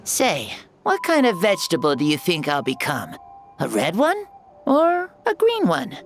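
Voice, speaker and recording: Wildly swooping, eager little child. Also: female voice, fairly low pitch, wildly swooping pitch, measured pace, soft background music, heavily acted. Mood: curious. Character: eager, innocent little child with silly big dreams. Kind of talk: video game voice acting.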